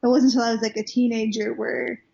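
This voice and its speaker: A woman's voice: on the high side, flat delivery, fast talker, gravelly, mellow. A young woman from Wales with an American-sounding accent, telling her story as a guest in a casual interview, full of fillers and restarts. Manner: chatty, many fillers and restarts. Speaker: guest minister. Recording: muffled lo-fi recording.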